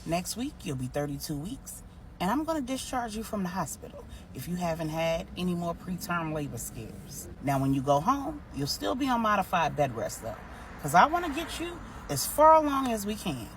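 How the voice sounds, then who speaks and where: A woman voicing a kind, reassuring female doctor in a story, calm and practical. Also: fairly low pitch, normal speed, far from the mic, slight background noise.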